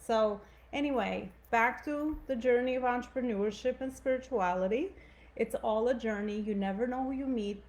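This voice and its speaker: A woman's voice: medium pitch, darkish tone, poor audio. A woman from Albania with an Albanian accent, giving an earnest, conversational motivational talk to live viewers and often asking "right?". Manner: earnest, conversational, frequent "right?" and "you know".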